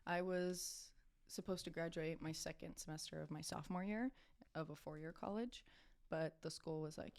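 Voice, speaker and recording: A woman's voice: deep voice, fairly even intonation, moderate pace, a little mellow, no echo. A young adult woman with an American accent chatting casually as a podcast guest, informal and self-deprecating. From California, United States. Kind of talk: casual interview chat.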